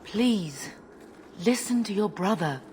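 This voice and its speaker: An actress playing a grieving, gentle middle-aged mother fleeing home in a fantasy video game, weary and pleading. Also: mid-range voice, lively intonation, takes it slowly, a little hiss or hum. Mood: sorrowful.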